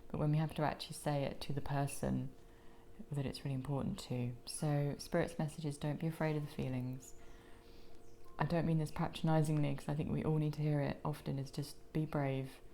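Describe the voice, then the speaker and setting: Croaky low-voiced woman doing a tarot reading, gentle and soothing, bit of faint hiss behind. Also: little pitch movement, fairly quick. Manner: gentle, soothing, drops into whispers. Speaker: tarot card reader. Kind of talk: pick-a-card tarot reading.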